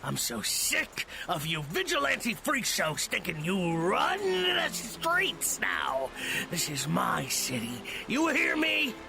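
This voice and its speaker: An actor playing an unhinged, vengeful street thug in an audio drama, menacing and ranting. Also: male voice, high-pitched, wildly swooping pitch, slow, creaky, exaggerated voice. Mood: menacing rage. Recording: loud music behind.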